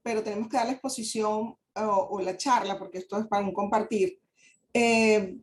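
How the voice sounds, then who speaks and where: A woman's voice: fairly high pitch, darkish tone, a little hiss or hum. A woman giving a webinar presentation as an advisor, polite and explanatory, with frequent fillers. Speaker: guest speaker, real estate advisor.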